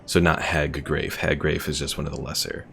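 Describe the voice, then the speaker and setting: Low, creaky-voiced male gaming streamer. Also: flat delivery, normal speed, fairly bright tone, faint music behind. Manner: chatty, self-deprecating, reads out chat jokes. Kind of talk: casual gameplay commentary.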